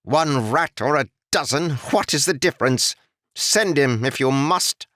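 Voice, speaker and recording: A sneering, strangely accented noble. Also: male voice, mid-range voice, expressive, measured pace, fairly bright tone, dry recording, studio quality, pitched above the performer's own voice. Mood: scornful. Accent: strange foreign-sounding lilt. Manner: sneering, snapping, complaining. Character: haughty exiled male noble, scornful and annoyed.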